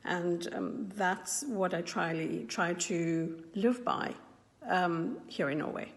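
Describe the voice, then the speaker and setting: A South African woman in her forties, sharing her own story thoughtfully, with frequent pauses and restarts. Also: on the low side, gravelly, big-room sound. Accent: South African English. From South Africa, KwaZulu-Natal. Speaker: interviewee. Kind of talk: personal account to camera.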